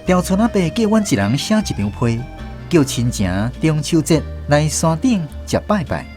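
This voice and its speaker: A man as narrator of a radio drama, looking back on a village boyhood. His voice sits a little high and moves in lively tones, with a Taiwanese accent, over soft background music. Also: normal speed. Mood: reminiscing. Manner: storytelling, reminiscing. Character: grown man recalling his village childhood.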